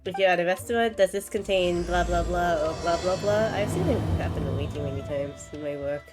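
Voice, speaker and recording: A woman's voice: lowish voice, fairly quick, over loud music. A woman livestreaming a game, giving casual, chatty commentary and reacting aloud to what happens. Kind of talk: casual live gameplay commentary.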